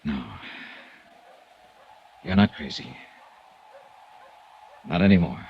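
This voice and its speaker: A man's voice: extremely low pitch, lively intonation, slow, creaky, highs cut off, slight background noise, full character voice. Brief: a firm, hard-boiled investigator narrating his own case, vintage radio sound. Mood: urgent. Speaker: insurance investigator lead and narrator. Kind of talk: 1950s radio drama acting and narration.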